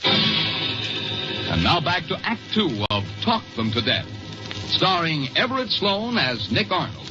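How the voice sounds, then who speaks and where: A man working as a commercial announcer, delivering a 1940s style radio sales pitch. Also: very animated, takes it slowly, over loud music. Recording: muffled old radio broadcast.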